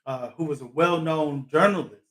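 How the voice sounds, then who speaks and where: A man's voice: on the high side, normal speed. A man hosting a live talk show, interviewing a guest in a thoughtful way with frequent fillers. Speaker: host.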